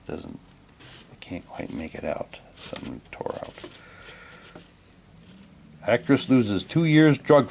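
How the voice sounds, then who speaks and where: A man's voice: fairly low pitch, a little mellow, a little hiss or hum. A man casually reading printed material aloud and commenting on it, with offhand muttered asides. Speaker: unboxing presenter.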